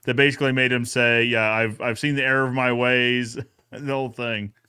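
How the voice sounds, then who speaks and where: A man's voice: mid-range voice, level tone, moderate pace, sharp tone, clean tone. A man hosting a podcast recap in a casual, folksy, conversational way. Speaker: host of a podcast recap show.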